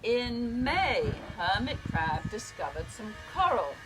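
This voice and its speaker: A woman storyteller reading a picture book aloud, with deliberate, dramatic phrasing for young children. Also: fairly high pitch, sing-song, over music, poor audio.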